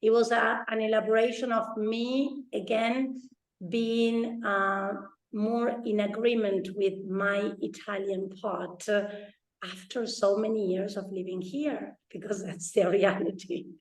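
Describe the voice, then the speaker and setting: A woman's voice: mid-range voice, flat delivery, unhurried, echoey room, rough recording. A woman from Argentina co-hosting a podcast, chatting casually and hesitantly with many fillers and restarts. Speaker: podcast co-host. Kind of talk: casual chat.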